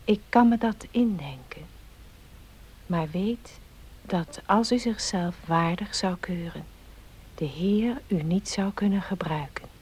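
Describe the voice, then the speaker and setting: A woman's voice: lowish voice, slow, noisy background. An actress playing a devout young woman whispering her inner thoughts in a radio play. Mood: consoling. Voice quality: breathy whisper. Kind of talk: Dutch radio play acting. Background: loud music.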